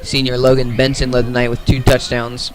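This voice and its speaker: An American man works as a play-by-play announcer on sports radio. His voice sits at a medium pitch and moves very little in tone. He delivers commentary in a measured, informational way. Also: monotone, faint music behind.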